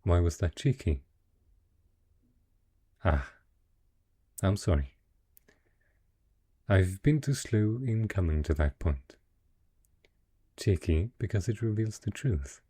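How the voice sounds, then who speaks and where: Man voicing a deep, husky, seductive dragon lord. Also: animated, warm tone, heavily acted. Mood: soothing, possessive. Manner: slow, teasing, courtly, coaxing. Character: wealthy dragon in human guise, possessive, seductive, teasing merchant patron. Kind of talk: romantic fantasy audio roleplay, intimate address to the listener.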